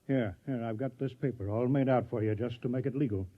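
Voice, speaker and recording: An actor playing a bossy, scheming town mayor in a 1950s radio drama, talking in a hurried, persuasive way. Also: male voice, medium pitch, no echo, lightly acted. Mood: urgent. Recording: dull, dry 1950s radio recording.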